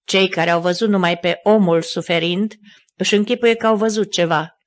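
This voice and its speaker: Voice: mid-range voice, natural voice. A reader voicing Pilate as a sick, feverish Roman governor who moans weakly and then raves wildly, in an audiobook. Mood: wild, raving. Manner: weak and moaning, then wild shouting. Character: dying feverish male Roman governor, raving.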